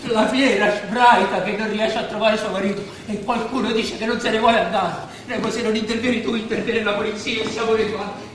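An actor playing an excitable, frantic young husband in an Italian stage drama, with an exclamatory theatrical delivery. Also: male voice, high-pitched, fairly quick, hardly any pauses. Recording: echoey hall from far off, noisy.

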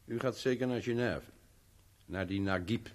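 An actor in a 1970s radio play playing a bitter, vengeful older servant, speaking in a grave, formal, terse way. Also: male voice, on the low side, normal speed, natural voice. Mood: subdued.

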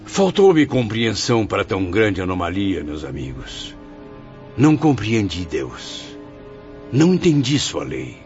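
A man's voice: fairly low pitch, wildly swooping pitch, unhurried, darkish tone, heavily acted. An actor playing a bitter, proud, anguished man in a Brazilian radio drama, declaiming his self-pity rhetorically. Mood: despairing. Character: tormented male suicide, bitter, proud, anguished, self-pitying. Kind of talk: melodramatic radio drama acting. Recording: muffled radio drama with faint music.

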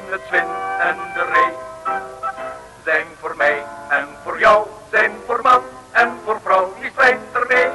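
A man's voice: sits high, low-quality recording. A man singing a comic anthem for a 1960s radio serial. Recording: old radio recording with music.

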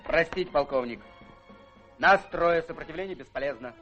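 An actor playing a polite, businesslike bailiff in a radio play, courteous, ironic and unflappable. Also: male voice, a little high, moderate pace, fairly bright tone, natural voice. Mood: polite, firm. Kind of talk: Russian radio play acting. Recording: dull radio play recording, faint music.

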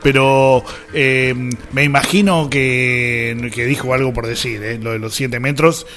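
A man's voice: medium pitch, fairly even intonation, measured pace, a bit bright, slight background noise. A man with a Buenos Aires Argentine accent, co-hosting a radio show with casual, chatty commentary. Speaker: radio co-host.

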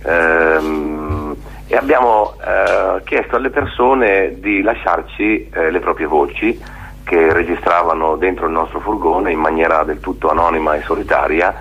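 A man being interviewed on radio, speaking in a halting, reflective way and often checking for agreement. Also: low voice, a bit bright, breathy edge. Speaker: theatre maker being interviewed. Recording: dull, lo-fi radio interview sound.

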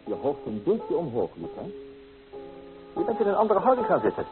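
An actor playing a young, amorous painter, coaxing and theatrical, in an old radio drama. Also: male voice, on the high side, very animated, a little fast, loud music behind the voice. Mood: instructing. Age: young adult. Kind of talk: old radio play acting. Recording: tinny old radio recording, hissy.

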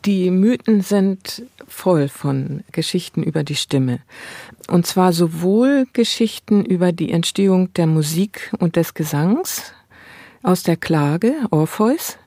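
Female scholar as interview guest, low soft-edged voice, reflective and explanatory, very dry close sound. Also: lively intonation, slow pace, no echo. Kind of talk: academic interview, explaining ideas.

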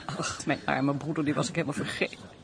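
An actress playing a compassionate married lady in a radio drama, expressive and earnest in a theatrical way. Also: low voice, fast talker, gravelly, a little hiss or hum. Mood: consoling, urgent.

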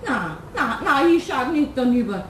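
Dramatic Bavarian caretaker, very high voice, alarmed, gossipy, distant, faint noise, radio play. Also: female voice, big pitch swings, normal speed, a bit bright, echoey room. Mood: fearful, dramatic. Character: gossipy, fearful, sensation-hungry female caretaker.

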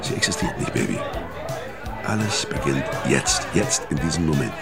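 Hard-bitten, weary killer, bottom-of-the-range pitch, matter-of-fact radio noir delivery, loud music bed. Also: male voice, sing-song, normal speed, creaky, over a noisy crowd, full character voice. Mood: quiet, insistent. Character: weary, hard-bitten male hitman recounting his brutal past. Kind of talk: radio crime drama, first-person narration and dialogue. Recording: music behind the voice.

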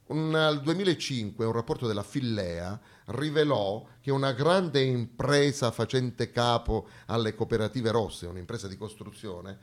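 A man with a southern Italian accent delivering a satirical stage monologue in a dramatic, sarcastic, expressive storytelling manner. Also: medium pitch, normal speed, few pauses.

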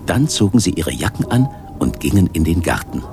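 A man's voice: low-pitched, big pitch swings, fairly quick. A man reading scripted narration for a children's story. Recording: studio narration under loud music. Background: loud music.

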